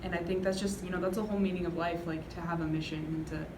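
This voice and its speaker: A woman's voice: on the low side, steady tone, fast. A young woman, a university student, sharing personal memories casually. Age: young adult. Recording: echoey room with clear background noise.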